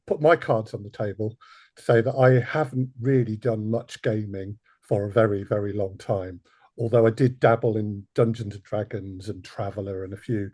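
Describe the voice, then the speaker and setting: A man's voice: on the low side, a little mellow, breathy edge, no echo. A man hosting a podcast panel interview, introducing and questioning in a self-deprecating manner. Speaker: podcast host.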